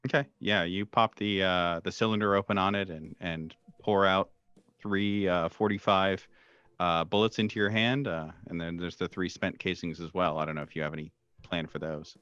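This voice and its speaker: A man with a low voice runs a tabletop horror game as game master. He narrates haltingly, pausing as he improvises descriptions. The sound is dry and slightly dull and lo-fi. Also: fairly even intonation, a bit bright, clear, resonant tone, no echo. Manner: halting, with many pauses.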